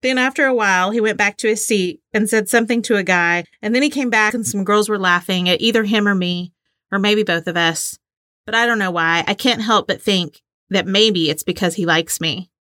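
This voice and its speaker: A woman in her forties with a very bright voice, recorded close and dry. She talks like a casual podcast co-host, informal and giggly, moving between chat and reading aloud. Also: mid-range voice, a little fast, dry recording. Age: 40s.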